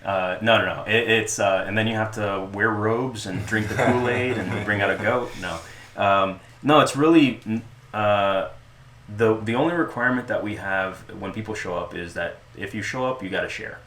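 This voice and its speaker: A man talking casually as an interview guest about the club he runs, relaxed and joking, with lots of restarts and fillers. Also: lowish voice, moderate pace. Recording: echoey room with faint noise.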